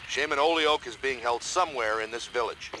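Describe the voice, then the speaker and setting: A man playing a gruff, businesslike military commander who gives terse, authoritative orders in a video game. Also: medium pitch, brisk, pushed and bright, close to an everyday voice. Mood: stern, businesslike. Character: gruff, businesslike male military commander briefing his squad. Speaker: mission commander. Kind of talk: video game cutscene acting. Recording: very noisy game audio.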